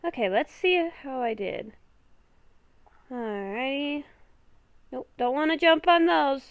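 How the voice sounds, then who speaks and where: Slow, high-voiced woman gaming. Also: no echo. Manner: talks to herself in short, halting phrases. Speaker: gamer recording a roleplay series. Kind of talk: casual gameplay commentary.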